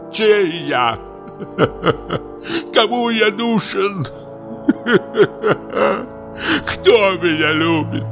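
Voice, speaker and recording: A man's voice: mid-range voice, vocal fry, voice pushed up. A man voicing a drunken, lonely comic actor of fifty-eight, frightened and maudlin, lamenting in a feverish, breathless, theatrical way. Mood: desolate, maudlin. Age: 50s. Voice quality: breathless, trembling. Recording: music under the voice. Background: music.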